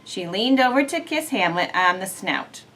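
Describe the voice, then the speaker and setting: A woman's voice: mid-range voice, animated, normal speed, fairly bright tone. A woman on a school staff reading a children's story aloud with expression.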